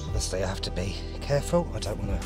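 A man in his thirties, a travel vlogger talking to a handheld camera outdoors on town streets, chatty and excitable with playful asides. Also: lowish voice, very fast, loud music behind the voice. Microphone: handheld camera at arm's length.

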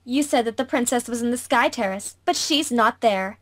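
Girl doing a cocky bratty anime mage girl, kinda high voice, snappy and exclamatory, fandub style. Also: moderate pace, a bit bright. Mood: irritated. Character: irritable, cocky young girl mage with a staff. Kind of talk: amateur anime visual novel dub.

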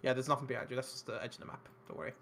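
A man's voice: mid-range voice, animated, racing, creaky, a bit bright, slight background noise. A man running a tabletop game as game master, talking informally and swearing casually.

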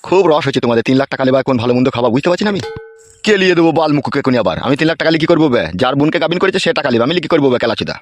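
A man's voice: fairly high pitch, expressive, very fast, close to an everyday voice. A man voicing bossy, short-tempered village men in a comedy cartoon, with a brusque, coarse and commanding manner. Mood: irritated.

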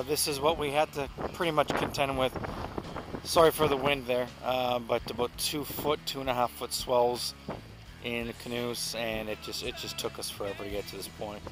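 A middle-aged man narrating a canoe trip vlog outdoors, talking in a relaxed, rambling way with many fillers, recorded on an action camera. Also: medium pitch, rough recording. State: walking while carrying a pack. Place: outdoors on a windy lakeshore and forest trail. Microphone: action camera held or worn. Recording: wind noise on action camera.

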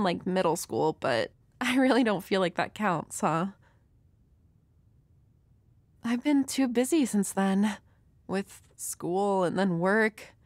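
A woman's voice: medium pitch, dry recording. A woman playing a shy, flustered young woman next door, nervous and hesitant, in an intimate romantic audio roleplay. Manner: nervous, hesitant, stammering, giggly.

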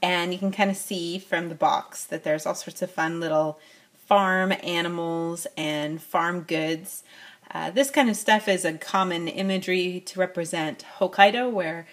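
Woman, middle-aged, toy unboxing vlog, lowish voice, filler-heavy casual talk. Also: fairly even intonation, a little slow, a bit bright. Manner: chatty, with frequent fillers. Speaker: toy review vlogger. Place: at a tabletop, off camera.

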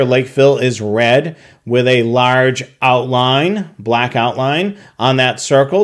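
A man narrating a slide tutorial, explaining a technical process step by step with occasional fillers. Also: medium pitch. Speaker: product tutorial presenter.

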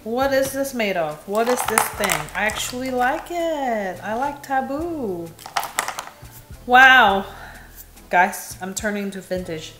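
A young woman from Indonesia reviewing perfumes in casual, candid chat, laughing at herself, filmed in a small bright room. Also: a little high, lively intonation, slow pace, roomy sound, slight background noise. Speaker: beauty vlogger.

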